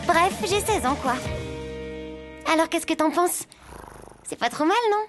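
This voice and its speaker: A high, youthful schoolgirl voice for a cartoon. She is chatty, earnest and self-dramatizing, stressing her points in casual speech. Music plays clearly behind her. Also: lively intonation, unhurried. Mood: upbeat. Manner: talkative, emphatic, colloquial. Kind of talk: animated series voice acting.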